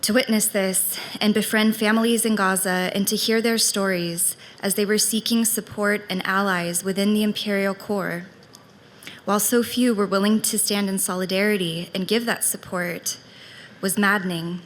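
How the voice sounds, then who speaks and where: A woman with a mid-range voice reads a prepared speech to a congregation, measured and steady. A faint hiss and room ambience sit behind her. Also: medium pitch, in a large hall, low-quality recording. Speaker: congregation member speaker. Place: congregation meeting hall.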